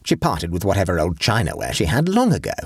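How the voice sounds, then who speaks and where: A man's voice: deep voice, wildly swooping pitch, fast talker, breathy edge, no echo, studio quality, heavily acted. A man reading an audiobook and voicing a severe, pompous middle-aged aunt who lectures sternly.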